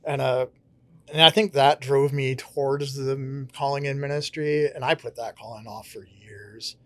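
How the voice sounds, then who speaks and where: A man's voice: mid-range voice, fairly even intonation, moderate pace, no echo. Pastor, male, Connecticut accent, earnest and reflective, dry close sound. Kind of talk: casual interview talk.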